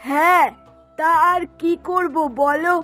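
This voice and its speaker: Woman doing a cartoon mom, middle-aged, super high voice, scolding and exasperated, music behind. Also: fairly even intonation, slow pace, pushed and bright, heavily acted. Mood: indignant. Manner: scolding, emphatic, complaining. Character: scolding, exasperated middle-aged Bengali mother of two daughters. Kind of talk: Bengali cartoon voice acting.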